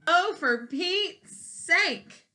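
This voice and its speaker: A woman's voice: very high voice, lively intonation, very slow, sharp tone, voice pushed up, full character voice. A woman voicing a plucky, exasperated young princess in a children's storybook, protesting with emphatic, raised delivery.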